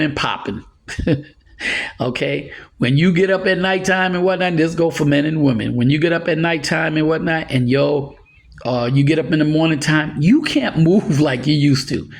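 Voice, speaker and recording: Man, 60s, slightly high voice, earnest and rambling advice-giver who chuckles now and then. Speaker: motivational speaker. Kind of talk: casual advice monologue.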